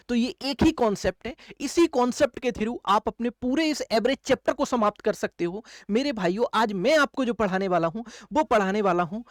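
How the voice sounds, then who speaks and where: A man's voice: sits high, no room sound, studio quality. A man teaching maths for exam coaching, explaining step by step, repeating key phrases and answering his own questions. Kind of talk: classroom lecture, step-by-step explaining.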